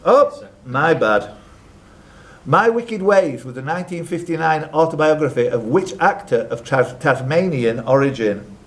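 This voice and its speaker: A man's voice: a little high, expressive, measured pace. A man hosting a live quiz, reading out questions with jokey, teasing asides to the contestants. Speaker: quiz host and question reader.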